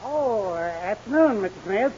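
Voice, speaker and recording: A meek, folksy old janitor. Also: male voice, sits high, animated, unhurried. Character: humble old male school janitor. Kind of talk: 1940s radio acting. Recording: muffled 1940s radio broadcast with hiss.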